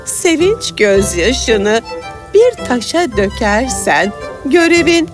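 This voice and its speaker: A wise old fairy-tale aunt with a high, very lively voice. She lays out magical quests slowly and expressively, with faint music underneath. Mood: solemn. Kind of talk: animated fairy tale acting.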